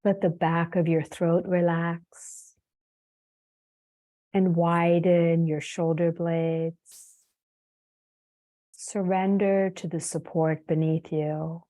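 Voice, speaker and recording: A woman leading a guided meditation as a yoga teacher, soothing and unhurried, leaving long pauses between phrases. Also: on the low side, level tone, warm tone.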